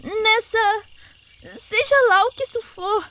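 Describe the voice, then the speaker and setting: A woman plays a dazed young survivor in an audio drama. Her voice is extremely high, slow and halting, strained with distress. She sounds far off, with clear noise around her. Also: sharp tone, heavily acted. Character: dazed, hurt young woman lost in wilderness.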